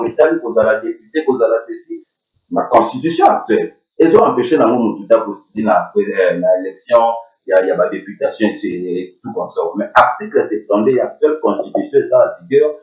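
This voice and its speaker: A man's voice: mid-range voice, darkish tone. Distant Congolese man in a roomy hall. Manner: switches between French and Lingala, hesitant, many restarts. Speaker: panel speaker. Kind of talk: public debate commentary. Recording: roomy, distant, dull lo-fi sound.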